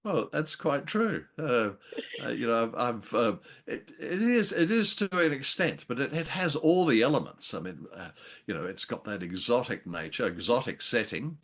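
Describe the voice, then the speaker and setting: A man's voice: medium pitch, big pitch swings, normal speed, fairly bright tone. A man co-hosting a show, chatting about a classic film in a hesitant, musing way with a playful, self-deprecating streak. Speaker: podcast co-host. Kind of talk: casual discussion of a classic film.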